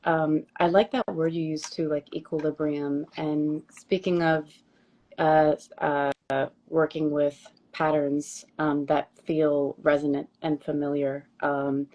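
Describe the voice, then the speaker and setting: A woman hosting a livestream interview, gently affirming her guest and asking questions. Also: low voice, level tone, slow, lo-fi sound. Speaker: interviewer host. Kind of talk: conversational interview. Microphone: livestream video call.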